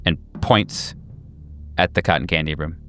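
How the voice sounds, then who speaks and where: A man narrating a horror tabletop game as game master, improvising haltingly and searching for words. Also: low-pitched, a bit bright. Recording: music behind. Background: clear background music.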